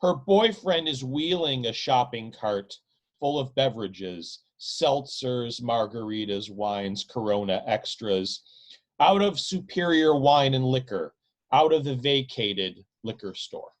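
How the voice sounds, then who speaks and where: Guy reading his literary novel out loud, medium pitch, really slow n deliberate, dramatic emphasis on words. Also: expressive. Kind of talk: literary audiobook narration.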